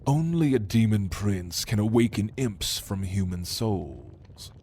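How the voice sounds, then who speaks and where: A man playing a haughty, hungry demon who was a prince's right hand, speaking slowly and intimidatingly to the listener in an ASMR audio roleplay. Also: fairly low pitch, lively intonation, mellow, husky, heavily acted. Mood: musing, sardonic. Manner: slow, deliberate, intimidating, dryly teasing.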